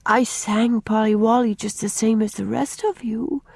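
A woman's voice: medium pitch, sing-song, slow, gravelly, a little mellow, breathy edge, no echo, voice pushed up, full character voice. Woman doing a weak, wistful little girl. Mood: weakly insistent.

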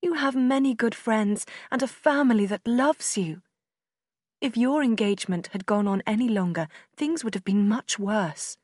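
A woman voicing a sensible, kind and restrained young lady in an audiobook, reasoning gently and earnestly. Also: on the high side, moderate pace, dry recording, clean studio sound, close to an everyday voice. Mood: earnest, consoling. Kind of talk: audiobook character acting in a simplified classic novel.

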